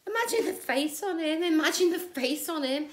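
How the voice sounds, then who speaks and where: A woman's voice: extremely high pitch, expressive, moderate pace. A woman acting a jaded middle-aged housewife in a wry, confiding, gossipy comic monologue. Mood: exasperated, amused. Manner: wry, confiding, gossipy, with rhetorical tag questions. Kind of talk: conversational comic stage monologue. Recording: echoey room.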